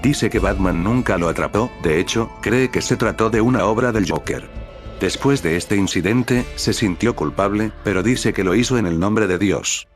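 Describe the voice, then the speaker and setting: A man narrating a scripted horror recap over dark music. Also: on the low side, moderate pace. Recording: background music under the narration.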